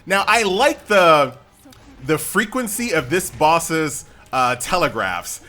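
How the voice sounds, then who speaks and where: A man's voice: fairly high pitch, animated, slow pace, pushed and bright. An American man giving casual, chatty gameplay commentary in an informal, conversational way. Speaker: gaming YouTuber.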